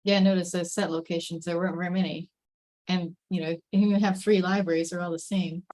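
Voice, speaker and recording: A woman's voice: fairly low pitch, level tone, a little fast, a little mellow. A woman talking casually in a group discussion, explaining her observations in a conversational way.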